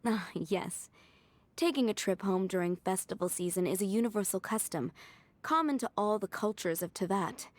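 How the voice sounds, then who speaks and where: A woman performs a doting head maid from a video game. Her mid-pitched voice is motherly and polite. She coaxes and gently teases as she speaks. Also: normal speed, lightly acted. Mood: teasing. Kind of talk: video game character voice acting.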